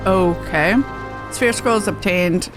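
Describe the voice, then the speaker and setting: A woman's voice: on the low side, slow, music in the background. A woman streaming a video game, chatting casually as she plays. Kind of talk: casual gaming chat.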